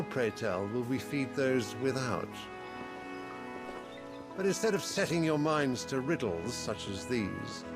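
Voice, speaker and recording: A man playing a scornful, imperious fantasy emperor in a video game, speaking in formal, archaic oratory. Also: mid-range voice. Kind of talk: theatrical fantasy game acting. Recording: orchestral music behind.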